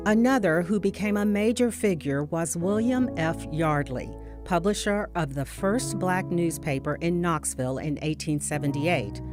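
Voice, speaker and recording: A woman's voice: on the low side, normal speed, hardly any pauses. A woman reading scripted documentary narration as the narrator. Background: guitar music.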